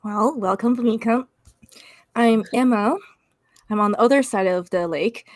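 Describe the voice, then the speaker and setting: A woman's voice: fairly high pitch, dry recording, studio quality. Casual woman hosting a live-stream panel. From Canada. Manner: casual, halting with fillers. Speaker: host. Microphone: video call.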